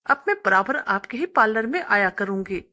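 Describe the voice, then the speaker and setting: A woman's voice: medium pitch, lively intonation, normal speed, bright, forceful tone. A woman voicing a young married woman customer in a story, polite, friendly and coaxingly insistent. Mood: friendly, admiring. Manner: polite, persuasive.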